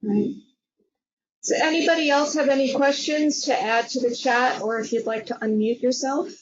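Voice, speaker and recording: A woman with a fairly high-pitched voice moderates a webinar as its host. She speaks informally to the participants. The audio is slightly muffled, as on an online call. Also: moderate pace, a little hiss or hum. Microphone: web conference call.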